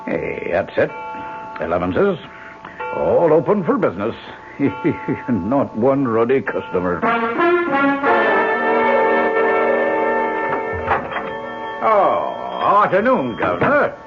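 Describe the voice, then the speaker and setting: A man's voice: mid-range voice, huge pitch swings, takes it slowly, loud music behind the voice, heavily acted. Chatty village tradesman, muffled old radio. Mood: brisk, jovial. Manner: folksy, deferential, clipped phrases. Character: chatty village postman and pub barkeep, jovial tradesman. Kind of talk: 1970s radio drama acting. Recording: muffled 1970s radio drama recording.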